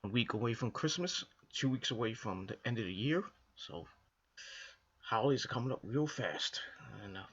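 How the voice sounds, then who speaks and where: A hoarse man from the Northeastern US, coming down with a cold, rambling casually through a wrestling review with lots of restarts and fillers. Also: medium pitch, expressive, normal speed, fairly bright tone, dull audio. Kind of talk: casual solo commentary to camera.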